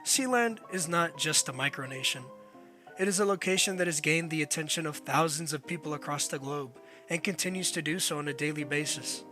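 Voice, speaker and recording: Higher-voiced man narrating over clear music. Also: brisk, sharp tone. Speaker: documentary narrator. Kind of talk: scripted narration.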